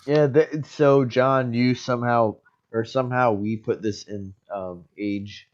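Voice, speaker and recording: A man in his late thirties co-hosting a casual chat. He talks haltingly, with many "um"s and restarts. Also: medium pitch, steady tone, muffled recording. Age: 30s. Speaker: podcast co-host.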